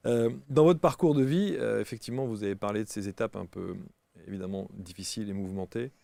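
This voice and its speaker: Host type: man with a mellow, gravelly voice, friendly and chatty, dry studio sound. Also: on the low side, quick pace, dry recording. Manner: friendly, chatty with many fillers. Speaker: interviewer. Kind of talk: studio interview.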